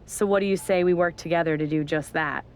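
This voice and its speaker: A woman playing a scheming, flattering wrestler in a video game cutscene, with a wheedling, theatrical manner. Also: lowish voice, fast, natural voice.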